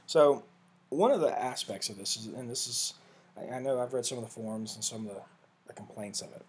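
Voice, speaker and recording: A man giving a casual, rambling screen-share walkthrough of study software, often correcting himself mid-sentence. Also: medium pitch, fairly quick, slightly rough. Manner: rambling, self-correcting, conversational. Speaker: vlogger reviewing software.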